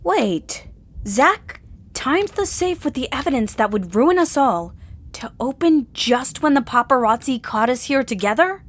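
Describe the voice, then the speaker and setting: Inquisitive, earnest sleuth, slightly awkward, high voice with lively intonation, comedic audio play. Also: female voice, unhurried. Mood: probing. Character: earnest amateur-sleuth actress, married mother.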